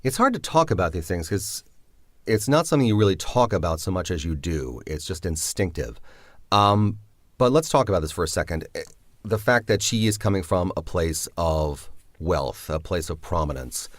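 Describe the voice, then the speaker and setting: A man with a very low voice answers interview questions about his own writing. He speaks reflectively and often hesitates, and the sound is dry and close to the mic. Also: sing-song, normal speed, dry recording. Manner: reflective, hesitant, many fillers. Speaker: writer of the drama.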